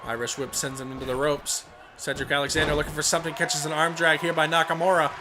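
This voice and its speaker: A young man calls the action of a wrestling video game in a voice hoarse and worn from a cold, sitting a little high for a man. He gets excited and shouts on the big moves. Steady background noise sits under the home recording. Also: fast talker, crowd noise. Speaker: let's play commentator. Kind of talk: play-by-play wrestling commentary over a video game.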